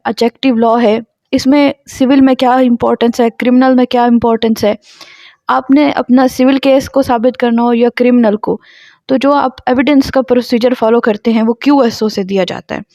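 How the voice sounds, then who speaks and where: A woman with a Pakistani accent teaches in a fairly high voice, explaining as a lecturer does to students. She drops English terms into her sentences. The recording is somewhat dull and lo-fi. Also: darkish tone. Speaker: law lecturer. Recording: boxy echoey room, dull lo-fi sound.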